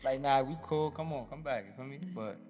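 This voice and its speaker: A man's voice: mid-range voice, fairly quick, a little mellow, in a large hall, faint music behind. A man guesting on a radio show, talking casually in laid-back slang. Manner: laid-back slang, says "you feel me" a lot. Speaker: guest artist. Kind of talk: casual interview chat.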